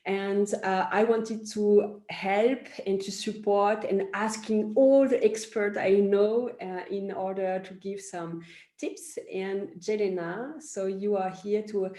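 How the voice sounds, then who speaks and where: A woman hosts a conversational online interview in English. Her voice sits at a medium pitch, and the call has an echoey, roomy sound. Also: fairly even intonation, slow pace, mellow. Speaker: host, parenting coach.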